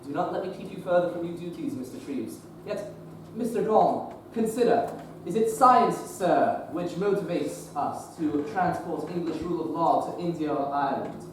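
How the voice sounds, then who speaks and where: A man's voice: on the high side, animated, normal speed, few pauses, close to an everyday voice. A young man acting an earnest, pious Victorian bishop in a stage play, formal and sermonizing. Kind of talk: teen stage play performance. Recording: echoey stage recording from far off, noisy.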